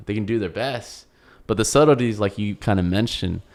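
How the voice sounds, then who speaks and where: A man co-hosting a podcast in casual chat, animated and quick to laugh. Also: lowish voice. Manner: animated, laughs often, talks over his co-host. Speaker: podcast co-host.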